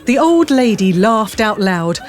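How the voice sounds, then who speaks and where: A woman narrates a tale for an audio drama. Her voice sits at a medium pitch as she delivers scripted storytelling prose. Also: very animated, measured pace, some chatter behind.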